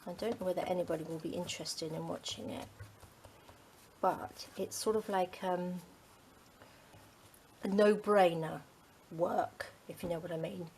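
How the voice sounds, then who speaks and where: A middle-aged Englishwoman talks casually and rambles, her lowish voice full of ums. She often sinks to a soft, near-whispered murmur while she crafts. A faint hiss sits under the recording. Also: fairly even intonation, a little slow, poor audio. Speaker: craft channel host.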